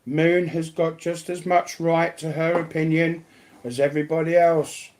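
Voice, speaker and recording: A man's voice: a little high, flat delivery, unhurried, a little mellow, rough recording. A blunt, sweary man chatting casually as a guest on a livestream.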